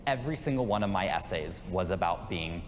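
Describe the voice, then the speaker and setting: Measured young man, low voice. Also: animated. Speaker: panel participant. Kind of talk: reflective panel answer. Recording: reverberant room with faint hiss.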